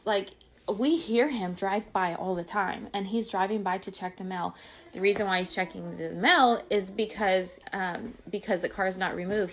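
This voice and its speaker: A woman's voice: mid-range voice, a little hiss or hum. A woman telling a personal story in a casual, exasperated and emphatic way. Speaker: online small business owner.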